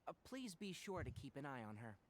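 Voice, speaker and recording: Higher-voiced, lively forest ranger. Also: male voice, brisk, slight background noise. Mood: earnest. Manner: polite, explanatory, earnest. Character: earnest, courteous male forest ranger mentor. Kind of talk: anime game voice acting.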